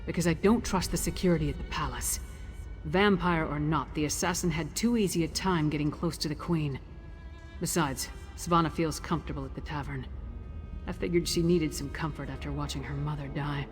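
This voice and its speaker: Woman playing a low-voiced, blunt warrior woman. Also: brisk, soft background music, natural voice. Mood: brisk, resolute. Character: tough, blunt, battle-hardened warrior woman. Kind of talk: video game character dialogue.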